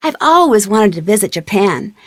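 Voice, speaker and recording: A woman's voice: mid-range voice, big pitch swings, normal speed, dry recording, studio quality. An actress voicing a friendly, curious young female detective in a scripted video game. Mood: inquisitive. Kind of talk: scripted game dialogue and tutorial narration.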